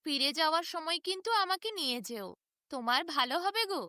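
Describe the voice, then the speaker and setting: Woman voicing friendly talking dung, high and lively. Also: brisk, dry recording, clean studio sound. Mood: friendly coaxing. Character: friendly, helpful talking cow dung by the road.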